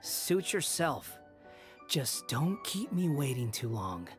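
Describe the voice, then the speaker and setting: A man's voice: medium pitch, very animated, takes it slowly. Arrogant, drawling young man. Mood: condescending. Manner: sneering, drawling. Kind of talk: scripted video game voice line. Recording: game audio with music behind.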